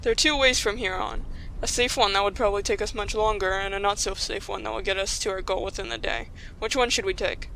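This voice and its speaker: A woman's voice: mid-range voice, steady tone, fast talker, fairly bright tone, a little hiss or hum, lightly acted, rough recording. A woman playing a determined, impatient young human child in an audio drama. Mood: confident, insistent.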